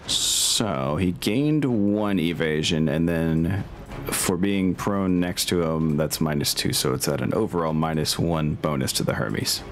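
Deep-voiced man running a tabletop game. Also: unhurried. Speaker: game master. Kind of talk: narrating a tabletop war game on stream. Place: indoors at home, online group call. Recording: music playing behind the voice. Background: music.

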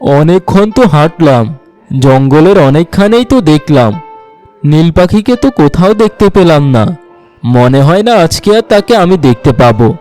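A man's voice: on the high side, animated, moderate pace, a little mellow, faint music behind, close to an everyday voice. A man voicing an earnest wandering hunter, talking to himself in a cartoon fairy tale. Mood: weary, disappointed.

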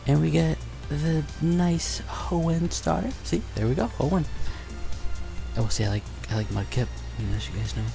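A young man chatting casually and excitedly while opening trading cards in a bedroom, on a headset mic. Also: fairly high pitch, moderate pace, gravelly, soft background music. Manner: upbeat, chatty, excited exclamations. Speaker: card collecting vlogger. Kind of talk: casual unboxing chat. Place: bedroom studio, indoors.

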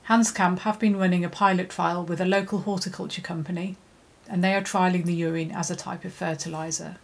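A middle-aged woman, an environmental consultant, giving a measured, scripted educational presentation to camera in a studio. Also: fairly low pitch. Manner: measured, clear, steady delivery. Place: indoor studio, plain background.

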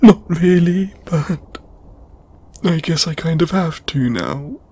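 A man playing an anxious, apologetic, lovestruck lamia flatmate in an audio roleplay, stammering and flustered. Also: a little high, full character voice. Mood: nervous, confessing. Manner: stammering, halting, flustered, breathy sighs. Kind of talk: intimate audio roleplay acting.

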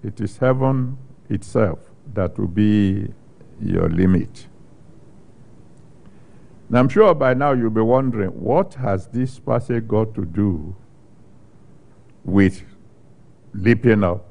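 An older man from Nigeria preaching a sermon and reading scripture, speaking slowly and deliberately with long pauses into a handheld microphone on a studio stage. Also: lowish voice, creaky, dark tone. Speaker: pastor. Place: studio stage with a large screen behind.

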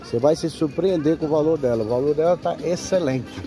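A man's voice: on the high side, fairly quick, mellow, faint music behind. A Brazilian man giving a casual, repetitive sales patter as a used car broker filming a walkaround for viewers. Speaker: used car broker presenting a vehicle for sale. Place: outdoors at a roadside car fair. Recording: outdoor street noise, faint traffic.

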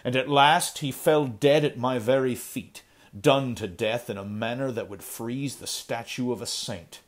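A man's voice: mid-range voice, a little slow, close to an everyday voice. A man voicing a somber male Puritan adventurer who speaks in a solemn, old-fashioned, declaiming way. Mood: grim. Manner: solemn, archaic, declamatory speech.

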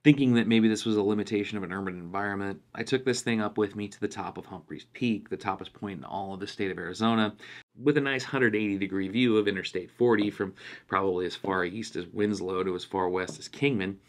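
A man's voice: lowish voice, steady tone, quick pace. A man talking casually through a product review in a blunt, opinionated way. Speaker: radio gear reviewer.

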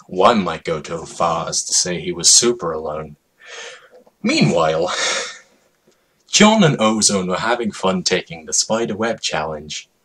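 A man with a husky voice giving a mock-dramatic, emphatic comedic reading of a story as its narrator. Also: sits low, a bit bright.

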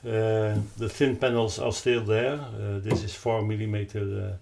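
An older man with a Dutch accent explains a product off the cuff, haltingly searching for words. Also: on the low side, little pitch movement, creaky, a little mellow. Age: 60s or older. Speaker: loudspeaker designer presenting his product. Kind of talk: unscripted explanatory product talk. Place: carpeted, wood-panelled listening room indoors.

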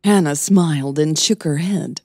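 Low, husky woman narrating fiction. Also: measured pace, warm tone, no echo, clean studio sound. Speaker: podcast narrator.

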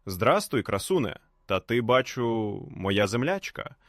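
A man's voice: on the low side, very animated, measured pace, no echo, clean studio sound. A man voicing a bold, courteous Cossack hero in a folk tale. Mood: friendly, courteous.

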